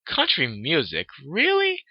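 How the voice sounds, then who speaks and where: A man's voice: a little high, wildly swooping pitch, slow, no room sound, voice pushed up, heavily acted. A prim, posh musician mare writes with lilting, mock-refined poise, as a man puts her on. She sits on a dry, muffled recording. The reading has a storybook feel.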